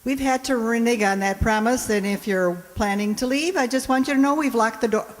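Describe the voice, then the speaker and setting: A woman's voice: on the high side, brisk. A woman host giving a prepared introduction to a live audience in a playful, wry, chatty way. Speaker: host introducing a radio players' show. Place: indoor hall in front of an audience. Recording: echoey hall, live stage.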